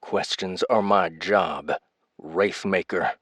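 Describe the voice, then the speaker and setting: A man playing a menacing lawman in a space fantasy audiobook, whispering probing words over a comm link in a husky voice. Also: slow, a bit bright, no room sound, studio quality, full character voice. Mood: probing menace. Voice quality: very deep, husky.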